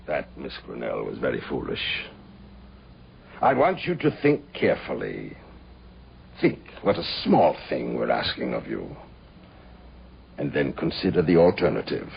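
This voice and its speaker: An actor playing a suave, menacing club agent who makes smooth, deliberate threats in a radio drama. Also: male voice, very deep voice, sing-song, heavily acted. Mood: coldly threatening. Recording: dull lo-fi radio recording, faint noise.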